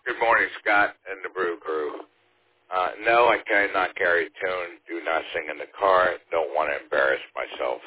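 Male radio caller, very deep voice, short and modest, tinny radio band. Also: moderate pace, a little hiss or hum.

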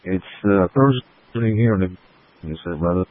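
A man's voice: deep voice, moderate pace, no echo. A man calling in on ham radio from his laptop, courteous and formal in his radio procedure. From Philippines. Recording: dry, tinny radio link.

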